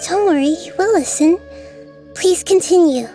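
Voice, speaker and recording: Gentle child-sized goddess, wise and curious: extremely high, warm, soft-toned game dialogue. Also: female voice, expressive, unhurried, faint music behind. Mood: attentive. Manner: soft, thoughtful, formal. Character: small, wise, gentle girl deity, thoughtful and curious. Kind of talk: scripted video game dialogue.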